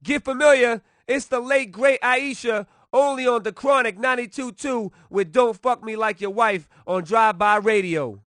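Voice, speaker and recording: A man's voice: very high voice, moderate pace, hardly any pauses, fairly bright tone, dry recording, clean studio sound. A man performing as a brash, hyped-up hip-hop radio DJ, announcing in punchy, slangy, promotional patter. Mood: respectful. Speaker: radio DJ host.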